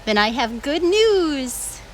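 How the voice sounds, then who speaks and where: A woman voicing a calm, helpful pig in a picture book, giving short, measured, matter-of-fact answers. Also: sits high, slight background noise, heavily acted. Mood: gently informing. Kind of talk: picture book read-aloud.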